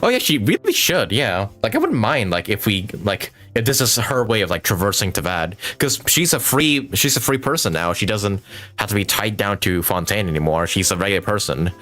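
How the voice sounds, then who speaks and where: A man's voice: on the low side, big pitch swings, brisk, a bit bright. A man giving casual livestream game commentary, rambling and enthusiastic, with casual swearing. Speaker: game streamer. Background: game music.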